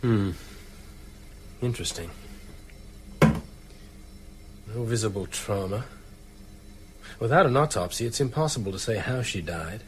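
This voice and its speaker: An actor playing an earnest, nervy doctor in a radio drama, speaking hesitantly and urgently. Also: male voice, lowish voice, expressive, slow pace, pauses often, background noise. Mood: clinical. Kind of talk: naturalistic radio drama acting.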